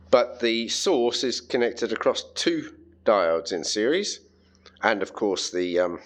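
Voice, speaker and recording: Male hobbyist presenter, mid pitch, informal and chatty, unscripted tutorial delivery. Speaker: hobbyist presenter demonstrating homemade electronics. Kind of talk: casual hands-on tutorial, unscripted.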